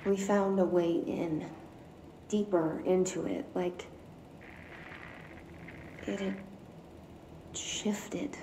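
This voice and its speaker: A woman's voice: fairly low pitch, steady tone, drawn-out words, pauses often. An actress playing a guarded young woman who answers hesitantly and turns defensive about her childhood. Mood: remembering. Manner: hesitant, defensive when pressed. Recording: echoey room with faint noise.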